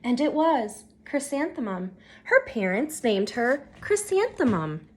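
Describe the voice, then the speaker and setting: A woman teacher reading a children's storybook aloud in an expressive, animated way, pausing to put questions to young listeners. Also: fairly high pitch.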